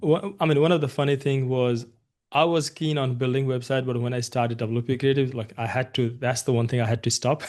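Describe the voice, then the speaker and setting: A man's voice: medium pitch, fairly even intonation, brisk, darkish tone. A man from Nepal, a guest agency founder, talking casually in an interview, often starting with "look" and "you know".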